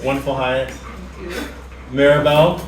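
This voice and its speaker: An American man hosting a casual staff tour, talking in a halting way and repeating words. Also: medium pitch, little pitch movement, crowd noise. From United States. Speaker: host of a factory tour. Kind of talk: casual chat, introducing staff. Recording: echoey noisy workspace, distant mic.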